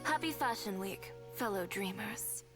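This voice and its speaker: A woman voices a proud young female fashion designer from a video game. She speaks in cool, assured declarations at a medium pitch, with clear music playing behind her. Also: animated, unhurried, lightly acted. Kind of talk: scripted video game voice acting.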